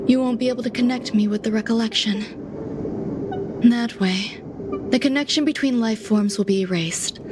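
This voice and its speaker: A woman playing an aloof, enigmatic genius scientist in a video game, speaking in a measured, formal, softly breathy way. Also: fairly high pitch, noisy background, lightly acted. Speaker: game character voice actor. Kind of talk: scripted game dialogue, slow reflective monologue.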